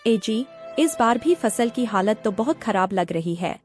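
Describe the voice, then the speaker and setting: A woman's voice: on the high side, expressive, a little slow, faint music behind. A woman playing a worried village farmer's wife in a cartoon moral story.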